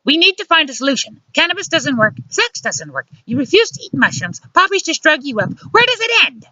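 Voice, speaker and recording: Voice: medium pitch, big pitch swings, moderate pace, a bit bright, muffled recording, voice pushed up. A narrator voicing an exasperated, bossy woman who lectures sharply in formal wording, in an audiobook story. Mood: demanding. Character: exasperated, scolding adult woman, fierce and bossy lover.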